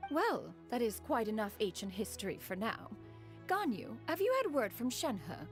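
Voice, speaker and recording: A woman's voice: on the high side, big pitch swings, moderate pace, faint music behind. A woman voicing a proud, ancient female bird spirit who speaks in a lofty, archaic way and calls herself "one". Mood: haughty. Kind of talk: video game voice acting.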